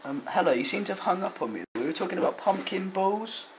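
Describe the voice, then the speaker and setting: Young man prank caller, lively pitch, noisy recording. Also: quick pace. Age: young adult. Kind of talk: prank phone call, feigned earnest inquiry. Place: dim room at home. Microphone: handheld camera close by. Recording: amateur camcorder audio with clear noise.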